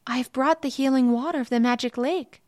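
A woman's voice: high voice, brisk, darkish tone, no room sound, studio quality, voice pushed up, lightly acted. A woman voicing a brave, earnest young peasant girl in a storybook tale. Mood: excited.

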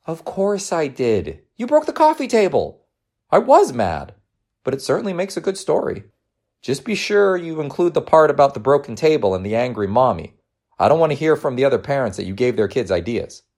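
A man voicing a gentle, teasing working mother in a storybook reading, coaxing and reassuring. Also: medium pitch, sing-song, fairly quick, pitched above the performer's own voice. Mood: encouraging, playful.

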